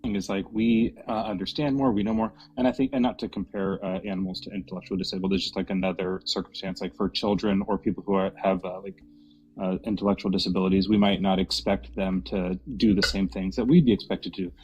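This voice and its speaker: A man's voice: low voice, fairly even intonation, quick pace, a little mellow, lo-fi sound, faint music behind. Rambling, joking man in a debate. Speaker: debate guest. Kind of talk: casual online debate.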